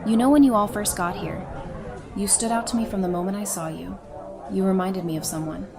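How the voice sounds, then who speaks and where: A woman's voice: mid-range voice, fairly quick, vocal fry, close to an everyday voice. An actress playing a wry, candid, friendly woman who talks conversationally in an audio drama. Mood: earnest, confiding. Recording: party music and crowd behind.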